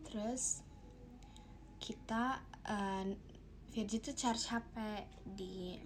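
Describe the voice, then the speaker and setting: A young woman from Bogor telling a casual, animated storytime, rambling with fillers and laughs. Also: medium pitch, very slow, a little hiss or hum. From Bogor, Indonesia.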